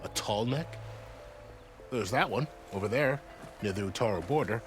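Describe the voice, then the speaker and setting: Man as a lively, low-voiced frontier commander. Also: moderate pace, music in the background, natural voice. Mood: helpful. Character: friendly, dutiful male gate commander in fantasy frontier. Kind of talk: video game voice acting.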